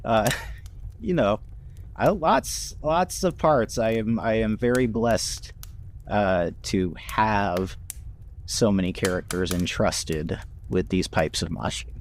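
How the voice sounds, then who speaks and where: A man chatting casually in a halting, wry, self-mocking way. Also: fairly low pitch, a little hiss or hum. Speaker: podcast voice actor. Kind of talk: casual chat.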